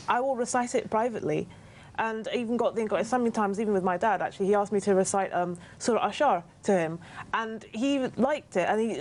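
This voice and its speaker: Fast-talking woman panel guest. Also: mid-range voice, a little mellow, a little hiss or hum. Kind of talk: casual discussion.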